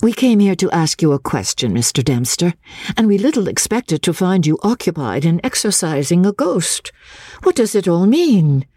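Brisk young gentlewoman performed by a narrator, imperious and scornful, raised pitch, swooping delivery, storybook dialogue. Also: sing-song, dry recording, studio quality. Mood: curious, brisk. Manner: emphatic, scornful questioning. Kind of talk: audiobook character dialogue.

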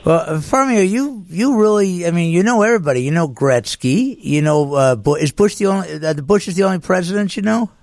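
An American man hosting a casual radio interview, a bit halting, often repeating words as he goes. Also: sits high, expressive, creaky, darkish tone, no echo. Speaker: radio show host. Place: radio studio.

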